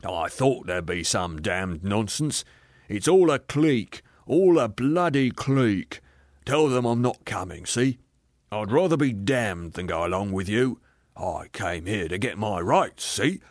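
A man's voice: lowish voice, animated, a little slow, dry recording, clean studio sound. A man voicing a sulky, self-righteous working-class ghost in a dramatised audiobook, argumentative and drawn out in his emphasis. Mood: bitter, defiant.

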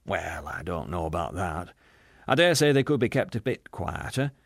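A shrewd, furtive man from a storybook, acted by a male reader. He mutters confidingly and anxiously, his pitch moving freely. The sound is close and dry. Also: very animated, normal speed, gravelly, dry recording, heavily acted. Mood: confiding, scheming.

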